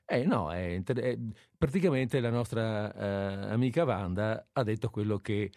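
Low-voiced man hosting radio. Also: normal speed, a little mellow, no echo, studio quality. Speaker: radio host. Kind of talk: casual call-in radio talk.

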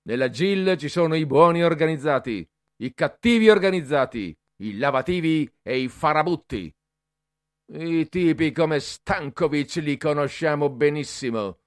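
Man putting on a loud bully cadet, shouty and sneering, high and swingy, dry close sound. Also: animated, a little slow, no echo. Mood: barking, bullying. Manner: barked orders, sneering shouts. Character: bullying young male cadet, harsh and mocking.

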